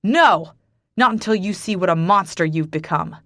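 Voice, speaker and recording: A woman's voice: lowish voice, moderate pace, no room sound, clean studio sound. A woman voicing a furious accusing man. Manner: harsh, accusing, shouting.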